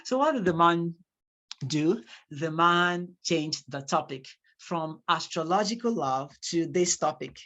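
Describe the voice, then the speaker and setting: A Nigerian instructor whose first language is Yoruba gives a classroom lecture in English with a Nigerian accent. The delivery is animated, and key points are repeated for emphasis. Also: medium pitch, a little slow, muffled recording.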